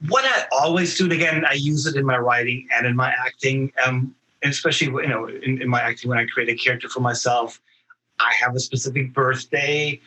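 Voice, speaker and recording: A man speaking as an actor guest in a casual interview, halting and earnest, often repeating words as he thinks. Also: medium pitch, pushed and bright, rough recording.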